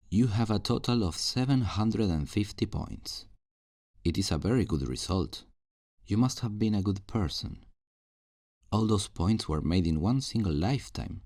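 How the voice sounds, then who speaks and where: A man playing a calm, kindly afterlife assistant in an ASMR roleplay, patiently explaining things in a low, gravelly voice. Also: dry recording, clean studio sound, full character voice. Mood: helpful, approving. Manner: patient, reassuring, explanatory customer-service talk. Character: calm, kindly male afterlife assistant selling souls new lives. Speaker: voice actor in audio roleplay. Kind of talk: ASMR roleplay, soft-paced scripted one-sided conversation.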